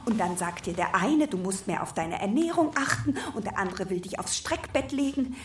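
A woman's voice: mid-range voice, lively intonation, moderate pace, close to an everyday voice. An actress playing a chatty, emphatic woman gossiping in appalled tones in a radio play. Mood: appalled, gossipy. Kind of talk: naturalistic radio play dialogue. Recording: roomy, distant, faint noise.

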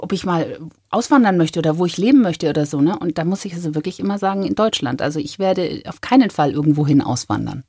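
Husky-voiced German presenter, chatty and laughing. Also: female voice, lowish voice, a little mellow, no echo, clean studio sound. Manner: laughs often, chatty with frequent restarts. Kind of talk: casual interview conversation.

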